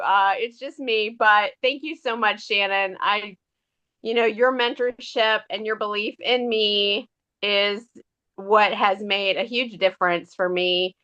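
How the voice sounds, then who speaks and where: A middle-aged woman speaks with a voice still scratchy from a recent illness. Her tone is bright and forward, and her delivery is earnest and grateful, full of fillers. The call audio sounds dull and lo-fi. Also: medium pitch, clean tone. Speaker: team member sharing her story. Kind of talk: casual testimonial on a team call.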